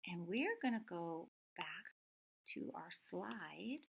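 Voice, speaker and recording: A woman teacher with a bright, clear-cut delivery reads and talks to young children. Her pitch moves expressively, and she puts questions to her listeners. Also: big pitch swings, slow. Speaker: elementary school teacher. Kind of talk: children's read-aloud and lesson.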